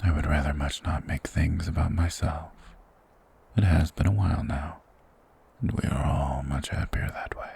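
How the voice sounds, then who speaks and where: Casting: male actor for an eerie, courteous woodland creature in fantasy ASMR, extremely low, breathy and whispering, with formal, softly persuasive phrasing. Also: steady tone, moderate pace, full character voice. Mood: reserved, polite. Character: mysterious soft-spoken male monster hermit of the woods, courteous, eerie.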